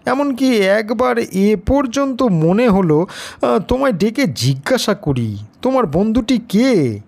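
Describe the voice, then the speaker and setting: Man acting as elderly village uncle, high voice, very slow, halting, astonished, insistent, audio storybook. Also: very animated, gravelly, a little mellow, exaggerated voice. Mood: puzzled, insistent. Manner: halting, drawn-out, with hesitant interjections.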